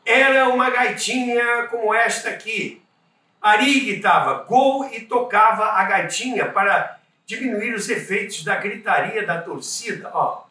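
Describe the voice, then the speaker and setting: A Brazilian man with a high-set, bright voice reads scripted documentary narration at an unhurried pace. He tells it like a storyteller, with emphasis, in a roomy space away from the microphone. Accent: Brazilian Portuguese. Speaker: podcast host and narrator of radio history. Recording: roomy echo, distant from microphone.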